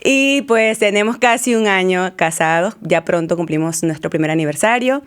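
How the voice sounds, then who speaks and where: Eager young woman, lowish voice. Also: normal speed, clear, resonant tone. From Venezuela. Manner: warm, eager, laughs easily. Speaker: podcast guest, journalist. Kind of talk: conversational podcast interview.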